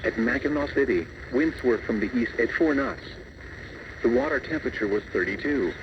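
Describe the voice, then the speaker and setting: A man's voice: medium pitch, animated, moderate pace, distant mic. Muffled automated male weather radio voice. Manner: automated, impersonal, clipped phrases. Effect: synthetic text-to-speech voice. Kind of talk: scripted weather forecast bulletin readout. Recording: muffled, noisy radio reception.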